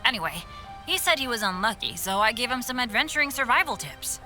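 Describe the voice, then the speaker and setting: A breezy, chatty young adventurer woman from a video game. She talks casually and nonchalantly. The voice is fairly high, bright and lively in pitch. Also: fast talker, soft background music, low-quality recording. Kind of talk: video game cutscene acting.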